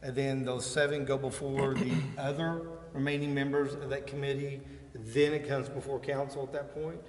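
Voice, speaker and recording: A man's voice: medium pitch, flat delivery, normal speed, a crowd in the background. Man asking questions in an echoey chamber. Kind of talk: procedural questioning in a meeting. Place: indoor council chamber. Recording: echoey chamber with faint noise.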